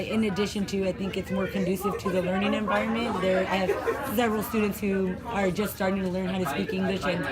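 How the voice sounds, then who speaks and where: A woman in her thirties, a teacher, answering interview questions in a thoughtful, measured way, filmed in a classroom office. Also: medium pitch. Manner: thoughtful, measured, mixes in fillers.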